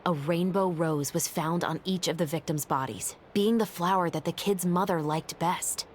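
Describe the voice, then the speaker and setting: A woman voicing a calm, composed female officer in a video game, speaking in a steady, matter-of-fact way. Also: fairly low pitch, normal speed, a little hiss or hum, lightly acted. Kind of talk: game voice acting.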